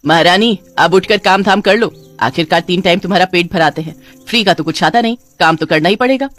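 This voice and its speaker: A woman's voice: fairly low pitch, fairly quick, a bit bright, faint music behind, natural voice. A woman voicing a cruel older mother-in-law in a cartoon story, harsh and taunting. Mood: scolding. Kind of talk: Hindi cartoon story voice acting.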